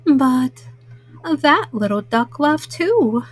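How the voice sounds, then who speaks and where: A woman storyteller reading a children's picture book at bedtime, slowly and simply, as a mama to young listeners. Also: sits high, animated. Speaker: storyteller host.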